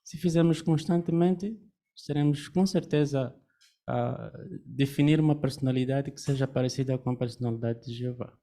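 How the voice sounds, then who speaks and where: A man's voice: mid-range voice, normal speed, mellow. A man giving a reflective comment at a religious study meeting, explaining his thoughts with rhetorical questions. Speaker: congregation member giving a comment.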